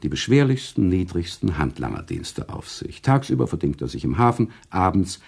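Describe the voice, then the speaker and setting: An actor playing a thoughtful, sympathetic storyteller who narrates within a German radio play, deliberate and expressive. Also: male voice, deep voice, gravelly, slightly rough, full character voice. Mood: narrating. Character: thoughtful, sympathetic male storyteller, the author himself.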